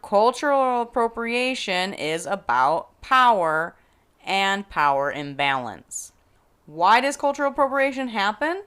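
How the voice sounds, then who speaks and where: A woman's voice: mid-range voice, a bit bright. A woman hosting a podcast, reading scripted educational narration with careful explanation and a wry, playful edge. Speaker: podcast host.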